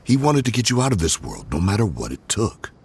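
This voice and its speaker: Brief: a loyal gang member, very deep, lively intonation, soft music behind. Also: male voice, a little fast, slight background noise. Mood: solemn. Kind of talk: video game voice acting.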